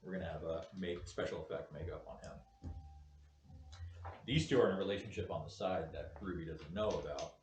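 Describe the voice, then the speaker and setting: Low-voiced man in an echoey, noisy room. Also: brisk. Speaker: filmmaker leading a table read. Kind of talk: casual project briefing, then reading screen directions aloud. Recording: echoey room, distant mic, loud noise.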